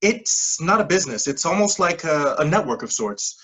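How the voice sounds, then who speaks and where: A persuasive scheme recruiter played by an actor in a staged play reading. The voice sits at a middling pitch with a slightly husky edge, pressing insistently in clipped phrases while dodging plain words. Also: very animated, normal speed, fairly bright tone, poor audio. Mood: reassuring, probing. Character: smooth-talking, pushy pyramid-scheme recruiter mentoring a junior coworker.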